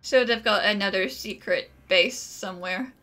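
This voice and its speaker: A woman's voice: medium pitch, normal speed, bright, forceful tone, lightly acted. An actress playing a young female adventurer in a video game, inquisitive, with a wry, quippy manner. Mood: probing. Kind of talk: game voice acting.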